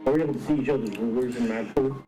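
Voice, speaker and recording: A man's voice: mid-range voice, racing. A man talking casually and technically as a player in a tabletop game. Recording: music behind the voice. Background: background music.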